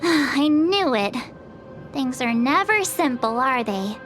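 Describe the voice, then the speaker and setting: Casting: a bubbly teenage girl, very high voice, casual and expressive anime game heroine, soft music behind. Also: takes it slowly, full character voice. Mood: resigned. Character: perky, cheerful teenage girl adventurer. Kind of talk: anime-style video game voice acting.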